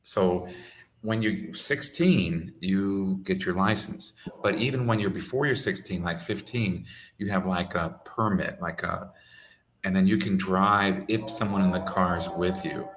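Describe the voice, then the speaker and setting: An American man tutoring English over a video call, speaking simply and clearly for a learner. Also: low voice, a little hiss or hum. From United States. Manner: slow, simple phrasing for a learner. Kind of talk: casual conversation lesson. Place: home in Saudi Arabia.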